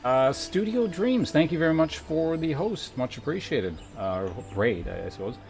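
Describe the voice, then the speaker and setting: A man's voice: on the high side, sing-song, normal speed, vocal fry. A man livestreaming a game, chatting casually and thinking aloud in a rambling, sometimes profane way. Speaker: game streamer. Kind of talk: casual livestream chat while playing. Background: game music.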